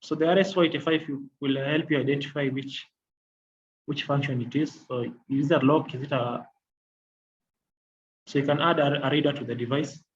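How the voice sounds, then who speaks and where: A man giving a software demonstration as a technical trainer, explaining in a halting, repetitive way. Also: medium pitch, fairly even intonation. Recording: dull lo-fi audio, faint noise.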